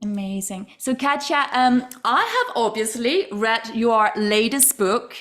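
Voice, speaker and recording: A woman's voice: on the high side, measured pace, a bit bright. A woman hosting a podcast, effusive and enthusiastic, laughing often as she welcomes and praises her guest. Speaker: podcast host. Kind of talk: podcast interview.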